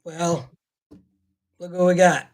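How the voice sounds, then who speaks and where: Hoarse podcast co-host, man. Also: sits high, fairly even intonation, takes it slowly. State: voice strained from yelling. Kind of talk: casual chat.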